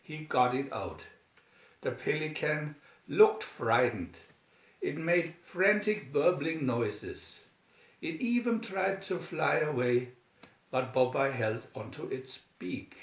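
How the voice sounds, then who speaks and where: Slow, animated male storybook narrator. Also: fairly high pitch, echoey room, highs cut off. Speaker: storybook reader. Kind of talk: scripted children's story narration.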